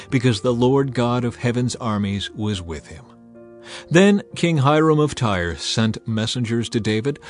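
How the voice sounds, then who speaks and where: Guy reading bible history like a dramatic audiobook, medium pitch, solemn and clear, music under it. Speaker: audiobook narrator. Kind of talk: dramatized scripture narration. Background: background music.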